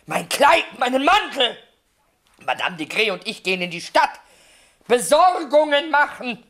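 An actress in a radio drama playing an imperious, worldly older general's wife, blunt and lusty, with a husky, breathy, theatrical drawl. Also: female voice, on the high side, sing-song, measured pace, fairly bright tone. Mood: commanding. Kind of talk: German radio drama acting.

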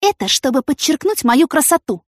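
A woman's voice: high-pitched, big pitch swings, normal speed, no echo, studio quality, pitched above the performer's own voice. A woman voicing a vain, impulsive, dramatic young woman in an audiobook, with theatrical, indignant exclamations. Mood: sassy, defiant. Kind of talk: audiobook character acting.

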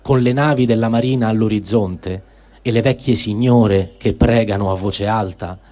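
A man reading a first-person war diary aloud in a measured, sober way. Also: on the low side, mellow. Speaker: reader.

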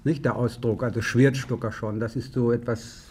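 A man's voice: mid-range voice, fairly even intonation, moderate pace, a crowd in the background. A man talking as a publisher guest in an old radio interview, courteous and wordy in his explanations. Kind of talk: formal conversational interview. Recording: 1950s archive radio recording.

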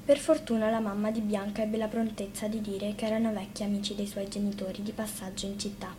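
A woman reads a fairy tale aloud as a storyteller, in an expressive scripted narration. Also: medium pitch, quick pace. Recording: clearly noisy live radio studio recording.

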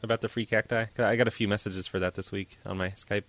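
A man's voice: fairly low pitch, fairly even intonation, quick pace, fairly bright tone. A man hosting a casual call-in show, bantering with a dry, tongue-in-cheek manner. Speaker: host of a prank phone call show.

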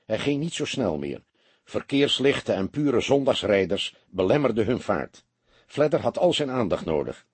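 A male audiobook narrator with a very husky, breathy-rough voice. He reads scripted prose expressively, with lively swings in intonation, in a close, dry recording. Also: medium pitch, moderate pace, dry recording.

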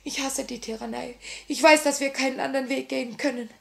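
A young woman revolutionary with a fairly high voice and a marked creak in it. She speaks with solemn, theatrical passion, torn between grief and resolve, in the manner of 1950s German radio drama. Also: steady tone, fast. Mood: weary, determined. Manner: theatrical, solemn, impassioned delivery.

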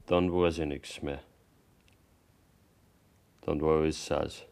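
An actor playing a defiant, bitter young farmer's son with a Bavarian accent in a German radio drama, terse and stubborn. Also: male voice, extremely low pitch, level tone, takes it slowly, vocal fry, a little mellow, exaggerated voice. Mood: subdued, sullen.

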